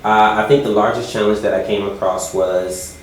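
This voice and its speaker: Deep-voiced man in an echoey room. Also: steady tone. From United States. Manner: measured, deliberate, many "uh" fillers. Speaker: volunteer teacher. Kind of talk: interview answers. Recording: very echoey room, faint noise.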